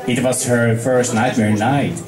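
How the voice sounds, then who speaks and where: A man's voice: mid-range voice, steady tone, measured pace, music in the background. A man with a Finnish accent reading a story aloud to a group as an amateur, stumbling over words and rereading them. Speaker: amateur fan fiction reader. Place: echoey room at a fan meet-up. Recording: echoey room with background crowd.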